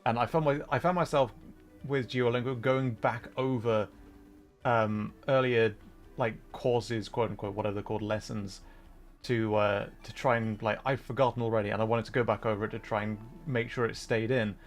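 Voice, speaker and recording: A man's voice: mid-range voice, fairly even intonation, normal speed, soft background music. A man hosting a livestream, chatting casually as he plays a game, with an apologetic, self-deprecating manner. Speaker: livestream host. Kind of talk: casual chat while streaming a game.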